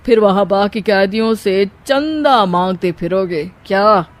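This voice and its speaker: A woman's voice: mid-range voice, unhurried, close to an everyday voice. A woman voicing an influential politician man in a cartoon story, with an authoritative manner. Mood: stern. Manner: authoritative, admonishing. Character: stern, influential politician man, secretly a disguised daughter-in-law. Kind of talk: cartoon moral-story acting.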